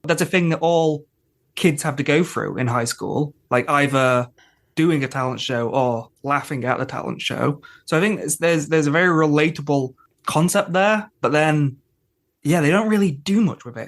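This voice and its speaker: A man's voice: a little high, normal speed, a bit bright. A man co-hosting a podcast, talking casually and conversationally in a rambling way about television. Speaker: podcast host. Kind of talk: casual TV episode discussion.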